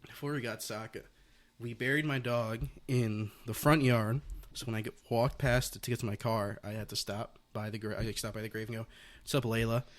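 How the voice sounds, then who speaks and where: A man's voice: mid-range voice, steady tone. Rambling young man hosting a podcast. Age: 20s. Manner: rambling, playful, quick bursts of speech. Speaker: podcast host. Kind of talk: casual interview chat.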